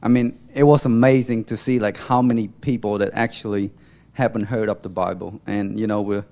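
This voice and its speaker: A young man from Cambodia giving an informal, halting church testimony as a mission volunteer, often searching for words. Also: lowish voice, steady tone, gravelly, darkish tone.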